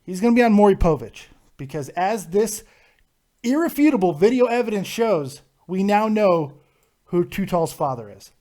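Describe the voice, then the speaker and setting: High-voiced man teasing his guest. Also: very animated, normal speed, dark tone. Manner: teasing, mock-serious roasting. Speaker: live stream host. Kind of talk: casual joking chat.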